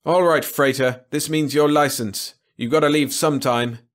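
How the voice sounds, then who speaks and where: A man's voice: a little high, lively intonation, brisk, voice pushed up. A man voicing a stern patrol officer giving official orders over a ship's radio in an audiobook story. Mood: threatening. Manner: commanding, official.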